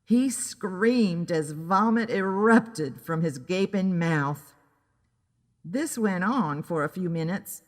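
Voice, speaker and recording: A woman narrating scripted pulp fiction in an audio drama, reading as a storyteller. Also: mid-range voice. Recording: long reverberant echo.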